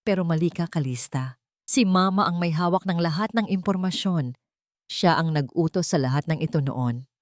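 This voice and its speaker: A woman's voice: on the low side, moderate pace, no room sound, clean studio sound, close to an everyday voice. A woman voicing a desperate former enemy, a man pleading urgently in a melodramatic audio story. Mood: desperate, warning.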